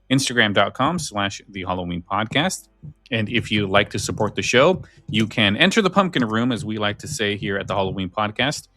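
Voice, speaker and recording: A man's voice: on the low side, sharp tone, dry recording. A man hosting a podcast, chatting casually and loosely with listeners in an informal, conversational way. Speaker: podcast host. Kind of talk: casual chat, show sign-off.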